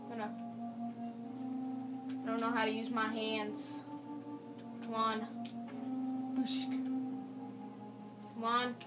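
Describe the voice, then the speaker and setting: Woman, fairly high, slow, casual commentary, music in room. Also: monotone. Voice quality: chatty, joking asides to herself. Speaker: gamer. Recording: game music playing in the room. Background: game music.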